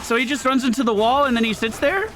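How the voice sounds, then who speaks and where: A man's voice: very high-pitched, quick pace, music in the background, rough recording. A man giving casual video game commentary, playfully thinking aloud and repeating his phrases. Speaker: gaming video host.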